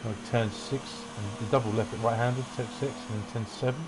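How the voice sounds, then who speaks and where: A man giving relaxed, rambling commentary on an online racing qualifying session. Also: mid-range voice, brisk, noisy background. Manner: relaxed, rambling, self-deprecating asides. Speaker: race commentator.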